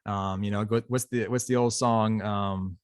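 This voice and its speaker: A man talking casually as a guest, with a loud, boisterous voice and an earnest, rambling way of speaking. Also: fairly low pitch, fairly even intonation, unhurried, clear, resonant tone, dry recording, clean studio sound. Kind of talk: casual conversation.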